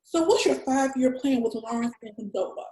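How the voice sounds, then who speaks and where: A woman's voice: high-pitched, brisk, mellow, roomy sound, natural voice. A woman playing a crude, funny, slangy babysitting friend in a comedy script table read. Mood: blunt, stern. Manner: blunt, slangy, sassy.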